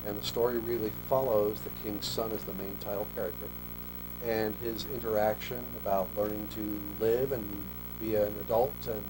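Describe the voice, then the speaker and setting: A man in his forties with a lowish voice, from Australia, who chats in long, loose sentences and often starts over. A clear electrical hum runs under the studio recording. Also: moderate pace. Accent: American English, faint Australian trace lost. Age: 40s. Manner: relaxed, talks in long loose sentences with restarts. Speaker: author guest. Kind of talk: casual TV interview chat.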